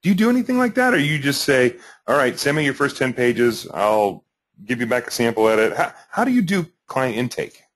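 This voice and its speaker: Husky, lively-voiced male webinar host. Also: mid-range voice, fairly quick. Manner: hesitant, rambling questions with restarts. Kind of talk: interview questions.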